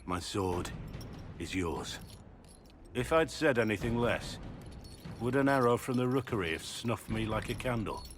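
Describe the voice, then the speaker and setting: An actor playing a disgraced middle-aged warrior in a video game, repentant and solemn, speaking gravely and haltingly. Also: male voice, fairly low pitch, lively intonation, slight background noise. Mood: solemn resolve. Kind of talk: dramatic video game acting.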